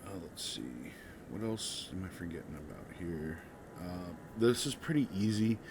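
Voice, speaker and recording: A man's voice: deep voice, big pitch swings, a little slow. A man who is sick, giving casual, rambling commentary over his gameplay as a channel host. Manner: laid-back, rambling, many ums. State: sick, voice a bit off. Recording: loud background noise.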